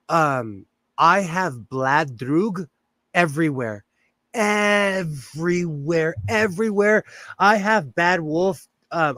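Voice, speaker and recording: A man's voice: a little high, fairly bright tone, no echo. A middle-aged man with a Southern Californian accent, hosting a casual livestream and rambling between chuckles while he greets viewers. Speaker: livestream host. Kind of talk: casual chat while sewing, reading chat.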